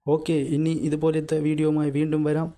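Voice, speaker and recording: A man's voice: a little high, monotone, a little fast, mellow, slight background noise. A Malayali man narrating a step-by-step repair tutorial in a plain, instructional manner. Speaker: host of a repair tutorial channel.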